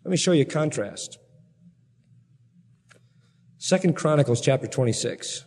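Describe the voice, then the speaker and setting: A man's voice: fairly high pitch, animated, measured pace, darkish tone. A man preaching an expository sermon, reading scripture and retelling it in an emphatic, colloquial, dramatic storytelling way. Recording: reverberant hall sound.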